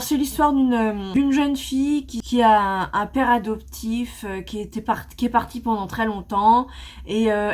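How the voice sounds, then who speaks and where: A woman's voice: on the high side, a little mellow. A woman reviewing her manga in a casual, hesitant way, with many fillers and restarts. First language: not English. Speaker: manga vlogger. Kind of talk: casual book review chat.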